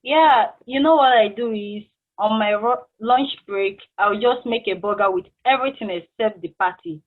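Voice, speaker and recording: A woman playing a laid-back, candid fast-food worker in a stage play table read. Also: medium pitch, natural voice. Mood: easygoing. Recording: tinny, phone-like call sound.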